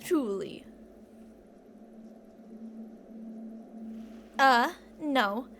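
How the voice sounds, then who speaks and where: A woman's voice: high voice, lively intonation, takes it slowly, noisy background, full character voice. A woman playing an obsessive, delusional female kidnapper who rambles theatrically and teasingly to a captive in an ASMR roleplay. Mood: smug, teasing.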